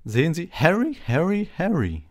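A man's voice: a little high, wildly swooping pitch, normal speed, pitched above the performer's own voice. A man voicing a vain, patronizing celebrity wizard professor in a gushing, condescending manner for an audiobook. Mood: smug, patronizing. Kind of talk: audiobook character voice.

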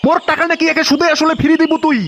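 A man plays a mocking, demanding cartoon creditor with a pitched-up voice. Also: flat delivery, racing, fairly bright tone, a little hiss or hum. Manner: taunting. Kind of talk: comic cartoon banter.

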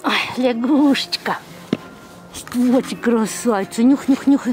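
A woman's voice: a little high, measured pace, darkish tone, faint music behind. A woman cooing affectionate baby talk to animals in a repetitive, playful way, filming outdoors on a handheld camera. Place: outdoors beside a zoo lion enclosure. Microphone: handheld camera, speaker behind it.

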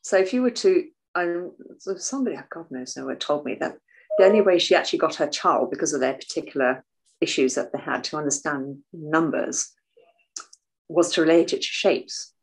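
An older woman speaks in a low, soft voice as she chats on a video call from her home. She talks with animation, moving her hands as she explains. Her delivery is gentle and unhurried. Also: rough recording. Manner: animated, waves arms while talking. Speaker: guest author and artist. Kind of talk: casual video call interview. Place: home room, indoors.

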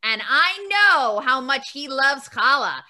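A woman's voice: sits high, sing-song, slow pace, sharp tone, full character voice. A woman voicing a kind, bubbly sister dinosaur puppet, telling a children's story in a playful, exaggerated way. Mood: kindly. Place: room at home. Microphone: video call, computer mic.

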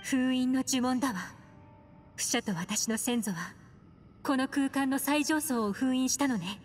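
High-voiced, composed investigator. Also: female voice, unhurried, big-room sound. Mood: realizing. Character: composed, serious adult woman investigator seeking lost ancestor. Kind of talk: Japanese video game voice acting. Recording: music behind.

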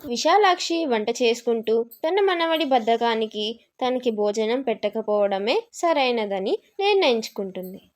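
A woman narrating a scripted moral story for children. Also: on the high side.

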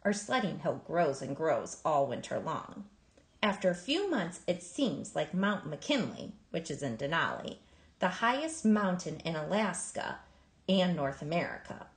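A woman reading a children's picture book aloud in an expressive, emphatic storytelling manner. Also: low voice, reverberant. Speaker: storybook reader.

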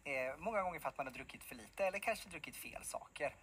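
A man in his thirties, a pediatric specialist nurse, explaining in a relaxed way with many fillers, interviewed in a TV studio on a lapel mic. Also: fairly high pitch, slight background noise. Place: bright TV studio.

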